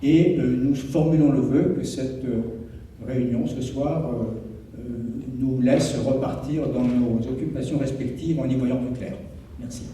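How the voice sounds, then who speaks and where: A man, a municipal official, gives a formal, explanatory introduction to a public meeting in measured, hesitant phrases. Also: medium pitch, mellow. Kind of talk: formal public address, partly improvised. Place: echoey indoor meeting room. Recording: echoey hall, distant mic, faint noise.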